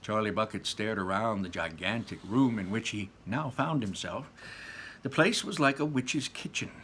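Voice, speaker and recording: A man's voice: fairly low pitch, normal speed, a bit bright, slight background noise. A man reading a children's book aloud as a storyteller, with dramatic emphasis on descriptive words and casual asides.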